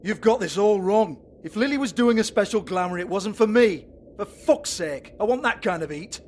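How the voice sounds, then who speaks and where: An actor playing a sleazy, foul-mouthed strip club owner with a British accent in a video game, mocking and volatile. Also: male voice, high voice, animated, normal speed, darkish tone, a little hiss or hum. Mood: irritated. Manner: sneering, taunting, swearing.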